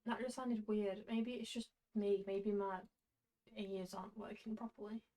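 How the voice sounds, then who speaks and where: A woman's voice: medium pitch, level tone, moderate pace, warm tone, low-quality recording. A woman streaming a visual novel, reading aloud and chatting with playful asides. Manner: acts out dialogue while reading, with playful asides. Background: music.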